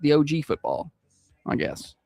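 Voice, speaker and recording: Southern guy on morning radio, high voice, real lively, dry studio sound. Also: moderate pace, gravelly, no room sound. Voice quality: high-pitched voice. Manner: chatty, self-deprecating, laughs easily. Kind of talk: casual radio chat.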